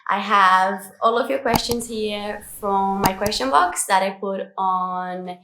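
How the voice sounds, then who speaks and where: A woman's voice: mid-range voice, slow, pushed and bright, soft background music. A woman in her twenties from Brazil with an Australian accent and Portuguese as her first language, chatting casually and upbeat to camera as a vlogger answering questions. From Brazil, moved to Australia at twelve. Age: 20s. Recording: echoey room.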